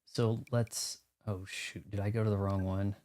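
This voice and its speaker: A man's voice: fairly low pitch, level tone, measured pace, darkish tone, a little hiss or hum. A man giving casual, rambling let's play commentary on a video game, talking to himself as he goes. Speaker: streamer playing a video game. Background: game music.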